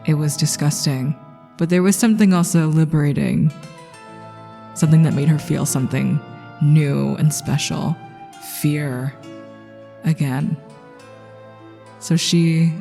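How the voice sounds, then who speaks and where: A woman improvising dramatic narration for her tabletop character, intense and halting, dwelling on single words. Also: deep voice, vocal fry, dark tone. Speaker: player in a tabletop game podcast. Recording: long reverb under music.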